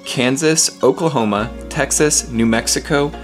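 A young man from New York narrating a long van road trip in a steady, even way. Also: mid-range voice, unhurried, music in the background. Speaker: van life vlogger. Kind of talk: scripted narration.

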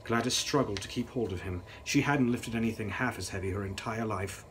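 A man's voice: on the low side, a little fast, a little hiss or hum. A young man reading a gothic novel aloud in a grave, theatrical, dramatic style. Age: young adult. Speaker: author reading his novel.

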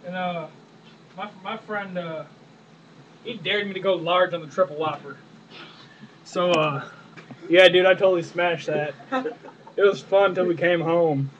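A man's voice: high-pitched, measured pace, long pauses, slight background noise. A young man playing a crass, slangy husband making faux-earnest complaints on a prank call. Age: young adult. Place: indoor room crowded with friends. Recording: muffled webcam audio, chattering friends.

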